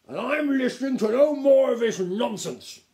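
A man's voice: high-pitched, slow pace, creaky, crowd noise, voice pushed up, exaggerated voice. A man voicing a pompous, irascible gnome duke in a storybook, blustering and scornful with emphatic delivery. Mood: indignant. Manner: blustering, emphatic, repeating himself.

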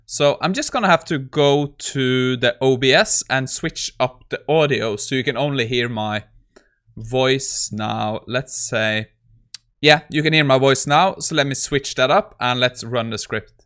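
A man in his thirties talks through code in a casual, conversational way. He sounds like a tech creator at his desk, speaking at a middling pitch into a close microphone. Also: a little slow, a bit bright, clean tone. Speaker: tech YouTuber. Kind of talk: casual screen-recorded coding walkthrough.

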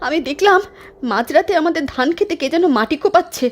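A woman voicing a frightened village wife who talks in an anxious, shrill way. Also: animated, quick pace. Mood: shaken. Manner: shrill, anxious, cries out and pleads. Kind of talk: dramatized storytelling.

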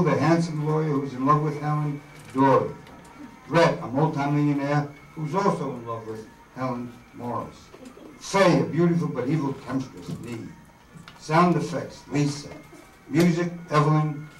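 An older man reading a scripted introduction aloud as presenter. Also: on the high side. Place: community meeting room before an audience. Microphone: handheld PA mic, picked up by camera. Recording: echoey room, distant camera mic, hiss.

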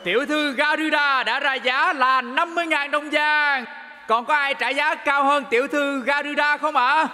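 High-pitched, showy auctioneer. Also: male voice, steady tone, normal speed, over music. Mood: excited announcing. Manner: theatrical, exclamatory announcing. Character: excitable, showy male auctioneer. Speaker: auctioneer character. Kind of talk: animated motion comic dub, showy auction calling.

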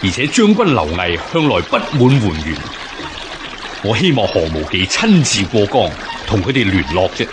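An actor with a Hong Kong Cantonese accent playing a resolute, formal rebel general of ancient China in a radio drama. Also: male voice, on the low side, big pitch swings, normal speed, background noise. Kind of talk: historical radio drama acting.

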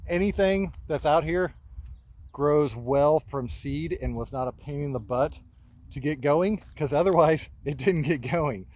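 A man in his thirties walking a vegetable field outdoors and talking casually into a phone camera held at arm's length, offhand and relaxed as he points things out. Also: a little high, a little slow. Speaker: market gardener giving a farm tour. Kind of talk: casual walking tour talk.

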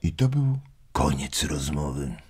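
A man playing an amused old grandfather telling stories to children in an audio drama, unhurried, chuckling and expressive. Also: extremely low pitch, soft background music, heavily acted. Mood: fond, reflective. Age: older. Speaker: storyteller.

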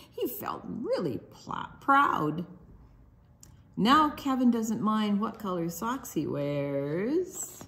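A woman reading a children's picture book aloud, expressively and with deliberate dramatic pauses. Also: medium pitch. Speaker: storytime book reader.

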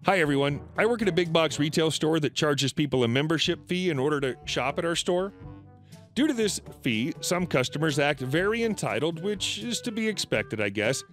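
A man narrating reddit retail stories aloud, reading in a lively, animated way. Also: normal speed, faint music behind. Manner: lively, animated reading with shifting pitch for quoted customers. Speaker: story narrator.

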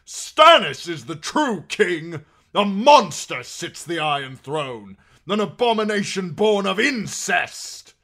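A man's voice: high voice, sing-song, takes it slowly, bright, forceful tone, voice pushed up, exaggerated voice. A man voicing a solemn knight turned fiery zealot, crying out fervent, declamatory curses in an audiobook story. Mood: fanatical fury.

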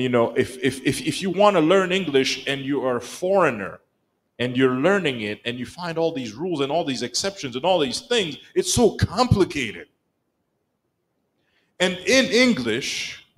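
Rwandan man preaching. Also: a little high. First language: Kinyarwanda. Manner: animated storytelling, acts out quoted lines. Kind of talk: sermon. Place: church sanctuary, mid-sized room. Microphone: pulpit microphone, filmed by a far camera.

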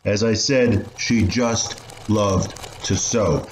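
A man acting an elderly refugee recalling his mother in a measured, reflective way for a radio play. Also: on the low side, slight background noise, rough recording. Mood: fond, reflective.

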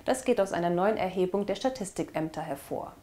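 A woman's voice: lowish voice, lively intonation, brisk, warm tone. A young woman presenting scripted local news as host, recorded indoors on a lapel mic. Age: young adult. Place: indoor studio with blue backdrop.

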